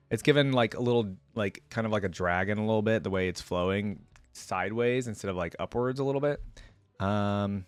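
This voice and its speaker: A man's voice: on the low side, no echo. A man hosting a casual reaction video, talking to viewers in an informal, slangy, exclamatory way.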